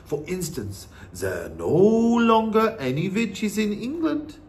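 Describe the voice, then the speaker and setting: Man as old grandmother, storybook character, soft hushed voice, slow pace, calm, wise, reassuring. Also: high voice, slight background noise, voice pushed up, heavily acted. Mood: calmly knowing. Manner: measured, reassuring.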